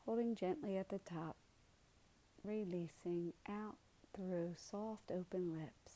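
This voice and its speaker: A woman leading a guided meditation in a soothing, unhurried way, with soft, gentle delivery and pauses. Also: lowish voice, a little hiss or hum, low-quality recording.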